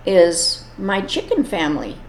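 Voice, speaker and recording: A woman voicing a young female eagle who speaks softly and hesitantly. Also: fairly low pitch, very animated, unhurried, slight background noise. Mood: unsure. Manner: hesitant, soft. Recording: echoey room.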